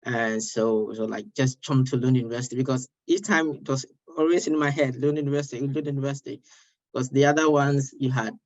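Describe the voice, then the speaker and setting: A man's voice: medium pitch, steady tone, brisk. A young man from Ghana, a master's student panelist, talking with enthusiasm and repeating himself. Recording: dull lo-fi mic.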